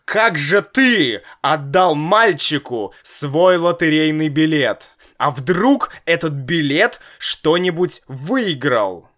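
A man voicing a nervous, hot-tempered father in a storybook reading, loud and exasperated. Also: on the high side, lively intonation, takes it slowly, hardly any pauses, pitched above the performer's own voice. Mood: agitated.

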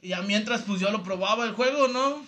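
High-pitched Mexican male co-host, laid-back and slangy, agreeing often. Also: a little fast, a bit bright. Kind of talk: casual chat.

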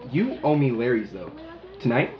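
Chill jokey shop coworker, super low lively voice, audio drama, muffled. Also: female voice, normal speed, crowd noise. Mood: laid-back, joking. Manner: casual, jokey. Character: playful, laid-back woman shop coworker. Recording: muffled shop recording with busy background sounds.

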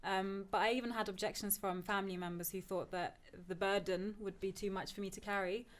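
A woman's voice: mid-range voice, fairly even intonation, brisk. Reflective young woman from Turkey. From Turkey, moved to England at ten. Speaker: podcast guest, PhD student. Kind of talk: conversational personal discussion.

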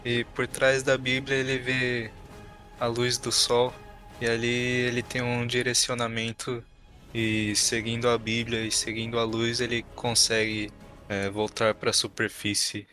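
A man's voice: medium pitch, monotone, measured pace, pushed and bright, soft background music. A man with a Brazilian accent improvising his game character's actions in an explanatory way, often repeating himself. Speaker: tabletop game player.

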